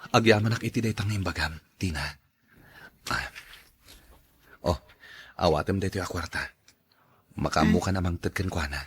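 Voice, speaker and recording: A man with an Ilocano accent playing a dejected, dutiful son in an audio drama, in a husky voice. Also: low-pitched, normal speed, long pauses. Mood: grateful, sober. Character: dejected dutiful son forced into an unwanted marriage. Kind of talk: Ilocano radio drama acting.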